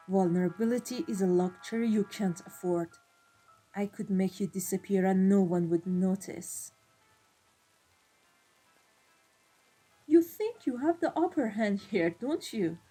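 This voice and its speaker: Husky, dark-voiced female vampire mafia boss, acted by a woman; cold, imperious, measured ASMR roleplay over music. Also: medium pitch, rough recording. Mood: cool menace. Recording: music behind the voice. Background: classical background music.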